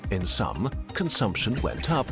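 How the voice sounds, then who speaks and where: A man giving scripted explainer narration with a lively, clear delivery. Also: fairly low pitch, measured pace. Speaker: educational video narrator. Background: background music.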